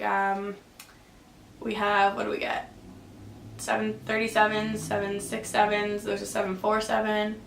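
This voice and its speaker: A woman's voice: medium pitch, gravelly, fairly bright tone. A young woman from Canada working as an air traffic controller, answering viewer questions in a casual, chatty way with plenty of fillers. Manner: chatty, lots of fillers and likes. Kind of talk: casual Q and A vlog. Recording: echoey room, faint background hum.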